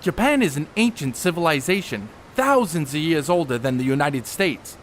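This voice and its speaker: A man acts a learned, principled athlete in a period radio play. The voice is a little high with lively pitch movement, measured and guarded in manner, over faint traffic noise. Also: natural voice. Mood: principled, reproving. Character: educated, guarded, principled man, a ballplayer photographer. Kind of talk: audio drama acting, 1930s setting.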